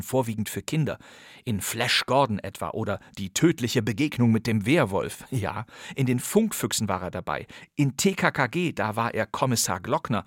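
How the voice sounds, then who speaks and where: A man's voice: mid-range voice, dry recording, clean studio sound. A man with pronunciation hosting a scripted radio podcast in a wry, emphatic way. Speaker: podcast host.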